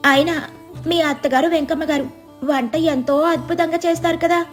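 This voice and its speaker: Storybook female neighbour, put on by a woman narrator: curious, doubtful, very high voice. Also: steady tone, normal speed, faint music behind, lightly acted. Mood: surprised, doubtful. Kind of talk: storybook dialogue.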